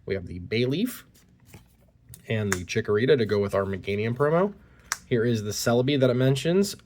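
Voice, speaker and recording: A man's voice: medium pitch, expressive, fairly quick, darkish tone, slight background noise. A man talking casually while opening trading cards, slightly congested from a cold, reading card text aloud, recorded on a phone. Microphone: phone recording at point-of-view angle.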